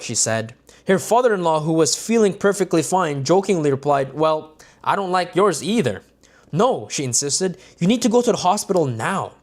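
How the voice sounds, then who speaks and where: A man reading a self-help book aloud on a livestream, with stumbles and restarts and casual asides to his viewers. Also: on the high side.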